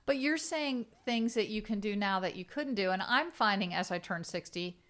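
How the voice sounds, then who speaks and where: A woman's voice: medium pitch, animated, normal speed, a bit bright. A woman of about sixty co-hosting a casual interview, chatty and asking earnest follow-up questions. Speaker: podcast co-host.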